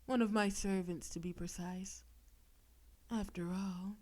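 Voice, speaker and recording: Woman acting as a female demon, very slow pacing, possessive, seductive, teasing menace, breathy touches, intimate audio roleplay. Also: mid-range voice, fairly even intonation, mellow, natural voice. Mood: commanding. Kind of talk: intimate audio roleplay, scripted monologue to listener.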